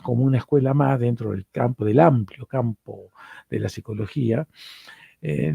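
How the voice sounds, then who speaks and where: Middle-aged Argentine male lecturer, dark gravelly voice, dry room, hesitant and repetitive delivery. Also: mid-range voice, no echo. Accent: Argentine Spanish. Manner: hesitant, repeats words while searching for them. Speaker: psychoanalyst lecturer.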